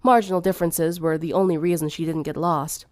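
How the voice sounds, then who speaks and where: A woman's voice: low-pitched, steady tone, a little fast, a little mellow, no room sound, studio quality. A woman narrating fan fiction in a dramatic storytelling style.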